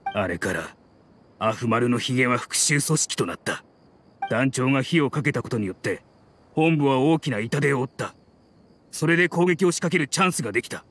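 A man voicing a grim, resolute mercenary in a video game, speaking in a rough, blunt and earnest dramatic manner. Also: medium pitch, animated, a little fast, a little hiss or hum. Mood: resolute, vengeful. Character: grim, resolute mercenary man bent on avenging his leader. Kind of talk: dramatic anime-style game acting. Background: soft music.

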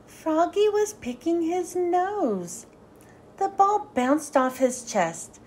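A woman narrates a children's storybook in a high voice that swoops up and down. Her delivery is animated and deliberate, with a soft, mellow tone and an unhurried pace suited to young listeners. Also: a little hiss or hum. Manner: animated, sing-song, deliberate phrasing. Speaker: children's book read-aloud host.

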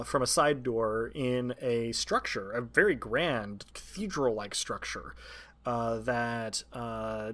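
A man running a tabletop game as game master, narrating and bantering off the cuff with hesitant, deliberate descriptive phrasing. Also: mid-range voice, a bit bright.

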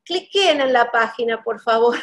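Bubbly high-voiced woman host. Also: animated, a bit bright. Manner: bubbly, upbeat, quick. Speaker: wedding planner host. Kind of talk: promotional video call chat. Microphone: video call webcam.

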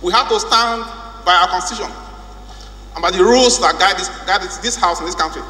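A man's voice: high-pitched, fairly quick, pushed and bright. A man with a Nigerian accent giving a formal, emphatic speech as a lawmaker, repeating phrases for weight. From Rivers State, Nigeria. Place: large indoor legislative chamber. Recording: echoey hall with hum.

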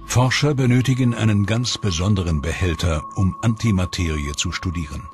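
Man, documentary voice-over, measured explaining, clear background music. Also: deep voice. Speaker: documentary narrator. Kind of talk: scripted voice-over narration.

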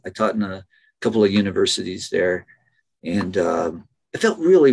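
An American man in his fifties, a professor interviewed on a video call from a small office, telling casual anecdotes in a halting, often amused way. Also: lowish voice. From United States. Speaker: interview guest, biology professor. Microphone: computer microphone on a video call.

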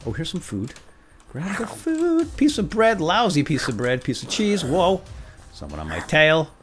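A man hosting a let's play, commentating playfully and exclaiming often as he talks to himself and to viewers. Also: a little high. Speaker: gaming video host.

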